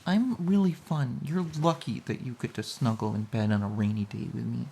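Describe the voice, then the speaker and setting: A woman playing a playful, pouty, affectionate girlfriend in an intimate romantic audio roleplay, speaking softly and hesitantly with a breathy voice. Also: extremely low pitch, moderate pace, slight background noise. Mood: sulky, pouting. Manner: soft, giggly, hesitant, cooing.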